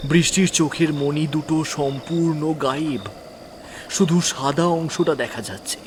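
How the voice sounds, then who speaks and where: A man's voice: on the high side, moderate pace, background noise. A man narrating a horror audio story dramatically, sometimes dropping to a whisper. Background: music.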